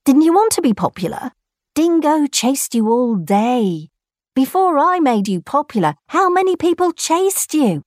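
A woman's voice: high voice, big pitch swings, a little slow, darkish tone, no echo, studio quality, pitched above the performer's own voice. Woman doing a scolding storybook spirit. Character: stern, scolding spirit creature.

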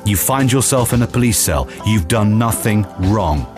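A man's voice: fairly low pitch, expressive, normal speed, over music. A man hosting a radio phone-in show, questioning callers and guests in a chatty way.

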